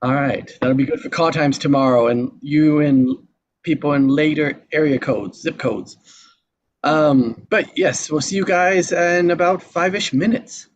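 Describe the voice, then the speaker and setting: A man's voice: on the high side, unhurried, highs cut off. A man casually hosting a live table read in an upbeat, encouraging way.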